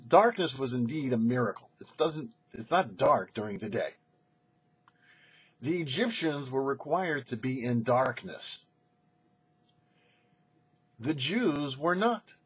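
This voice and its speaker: A man with a husky voice giving a scripted religious commentary as a rabbi, in a teacherly manner of posing questions and explaining them. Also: medium pitch, expressive, takes it slowly, pauses often, highs cut off. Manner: didactic, poses questions then answers them. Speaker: rabbi hosting a weekly Torah show.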